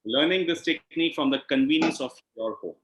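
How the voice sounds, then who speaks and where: A man's voice: fairly high pitch, brisk. A man with an Indian accent explains as an instructor on an online call, in a didactic way, often checking in with a questioning "right?". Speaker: healing instructor and session host. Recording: echoey room over video call.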